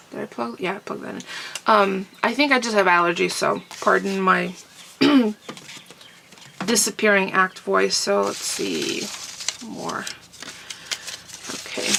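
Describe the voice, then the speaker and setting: A middle-aged woman with a stuffy, congested and husky voice, chatting casually to camera about crafting and clearing her throat often. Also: mid-range voice, a bit bright, a little hiss or hum, poor audio. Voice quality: husky, fading voice. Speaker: crafting vlogger answering viewer comments. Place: home craft room desk. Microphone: camera on a tripod nearby.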